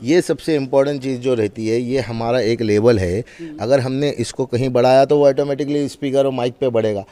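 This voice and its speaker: Male demonstrator, mid-pitched voice. Emphatic instructional delivery that restates each point. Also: fairly even intonation, fairly quick, few pauses, dark tone. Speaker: mosque representative demonstrating loudspeaker equipment. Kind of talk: spoken demonstration and explanation.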